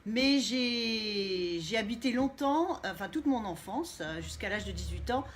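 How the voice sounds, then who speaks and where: A woman's voice: fairly high pitch, a little slow. A middle-aged woman, a guest coach talking expressively in a casual live stream. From Canada (Montréal), Italian heritage. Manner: expressive, playful, laughs easily. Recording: faint background noise.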